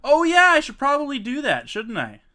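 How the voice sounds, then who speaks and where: A man hosting a casual live stream with friends, animated and quick to laugh, sometimes reading haltingly. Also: very high voice. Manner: animated, laughs often, reads aloud haltingly. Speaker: streamer and host.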